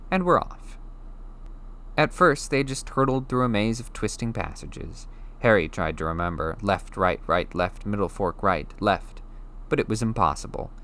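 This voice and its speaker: A man reading a novel chapter aloud as an audiobook narrator, with steady, clear storytelling. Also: sits low. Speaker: audiobook reader.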